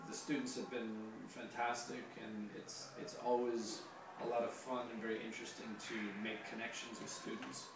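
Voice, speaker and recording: A hoarse man, a teacher being interviewed, answering casually with many pauses and the odd dry joke. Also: medium pitch, little pitch movement. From Canada. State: hoarse, losing his voice. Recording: very echoey room, distant mic, noisy.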